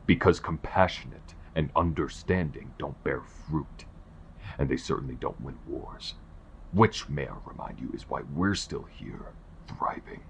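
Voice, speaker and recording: A man playing a bitter older king and cold father, imperious and gravelly, in an audio roleplay. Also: very deep voice, lively intonation, a little slow, slight background noise, heavily acted. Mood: cold, defensive. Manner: imperious, formal.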